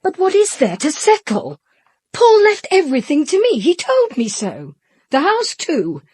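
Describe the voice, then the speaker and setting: An elegant, haughty widow in a radio mystery. Her voice is high and swoops in pitch, with a poised, possessive air. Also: huge pitch swings, measured pace, a little mellow, no echo, studio quality, full character voice. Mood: haughty, insistent. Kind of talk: British radio drama dialogue.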